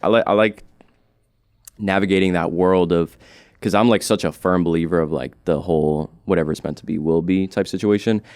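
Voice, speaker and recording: A man's voice: sits low, brisk, clear, resonant tone, dry recording, clean studio sound. A man in his twenties chatting casually as a podcast guest, telling stories in loose, slangy, profane language. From United States. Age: 20s. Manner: slangy, profane, animated storyteller. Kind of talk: casual interview chat.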